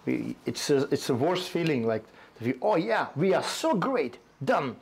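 A man in his forties with a Russian accent, speaking English as a second language in a halting, casual conversation on an outdoor balcony. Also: fairly high pitch, very animated, vocal fry, a little mellow, breathy edge. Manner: halting, restarts often, non-native grammar. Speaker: tea company host. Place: covered balcony outdoors, forest behind.

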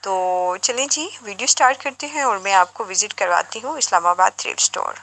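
A woman's voice: medium pitch, expressive, fast, bright, forceful tone. A woman vlog host giving a chatty voice-over introduction to a market tour, mixing in English phrases.

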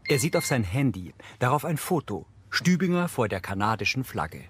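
A man's voice: mid-range voice, very animated, normal speed, a little hiss or hum. A man reading scripted audio description of the scenes in a series.

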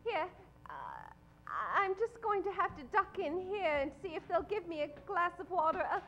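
A woman playing an inquisitive, penny-pinching sleuth in old-time radio comedy, halting and polite. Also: extremely high pitch, expressive, bright, forceful tone, lo-fi sound, exaggerated voice. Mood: curious, halting. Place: indoor theatre stage. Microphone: stand microphone.

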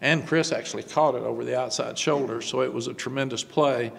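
An older man, a head football coach, answering postgame press questions at a desk microphone, halting and repeating words before quick emphatic runs. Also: medium pitch, steady tone, creaky, breathy edge, long reverb tail. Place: press room before a sponsor backdrop. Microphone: desk microphone on stand.

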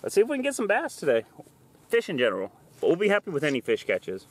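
A man's voice: a little high, wildly swooping pitch, fast talker. A man in his thirties, a fishing vlogger, talking casually outdoors on a small boat. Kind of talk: casual outdoor vlog talk. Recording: outdoor noise from boat on water.

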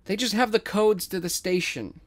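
A scornful woman mechanic in a space story, voiced by a male reader. She speaks out bluntly and accusingly, pitched up and defiant. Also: normal speed, dry recording, natural voice. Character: defiant, disgusted young woman, station mechanic.

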